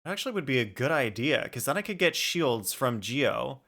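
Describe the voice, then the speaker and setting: Bright-voiced man livestreaming a game. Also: medium pitch, very animated, moderate pace. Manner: informal, thinking aloud. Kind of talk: casual livestream gaming chat. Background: music.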